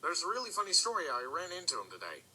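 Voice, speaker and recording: Man acting bright, forceful, wary hired tracker. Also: fairly high pitch, wildly swooping pitch, quick pace, a little hiss or hum, full character voice, rough recording. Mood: earnest. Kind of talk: audio drama acting.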